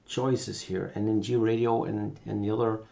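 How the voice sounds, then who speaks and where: Low-pitched Belgian man with creaky voice. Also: level tone, normal speed, dark tone. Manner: unscripted, thinking aloud while working. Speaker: software tutorial presenter. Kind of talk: casual screencast tutorial.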